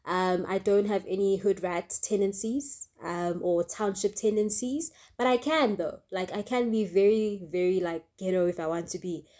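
An upbeat South African woman hosts her own podcast in an easy, chatty way. She sits in a comfortable mid-range pitch and keeps checking in with the listener. Her pauses fill with "um", and she drops in local township slang. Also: darkish tone. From Soweto, Johannesburg, South Africa. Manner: chatty, upbeat, often asks "right?" and adds "um". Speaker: podcast host. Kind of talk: casual solo podcast chat.

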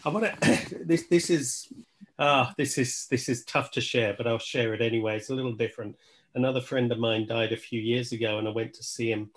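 A man's voice: medium pitch, a little fast. Earnest man in reflective conversation. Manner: earnest, repeats phrases for emphasis. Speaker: podcast guest.

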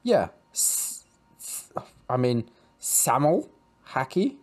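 A man's voice: medium pitch, big pitch swings, takes it slowly, a little mellow. A man co-hosting a podcast, chatting casually about a book chapter, with many restarts and fillers and a dry sense of humour. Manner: hesitant, full of fillers and restarts, dry jokes. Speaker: podcast co-host.

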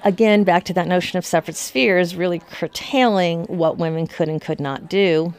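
A woman's voice: lowish voice, steady tone, moderate pace, a little mellow, smooth. A woman giving informal history commentary as an expert, with an emphatic, conversational manner.

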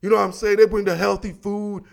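Male American sports host, high voice with gravelly fry, emphatic and animated, street-casual delivery. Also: quick pace. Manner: animated, emphatic, repeats himself, addresses listeners as dog and baby. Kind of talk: casual, slangy football commentary monologue.

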